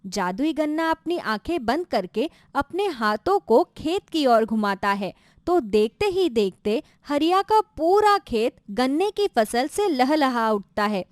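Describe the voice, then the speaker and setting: A woman's voice: high voice, very animated, unhurried, dry recording. A woman narrating a scripted children's moral story as a storyteller.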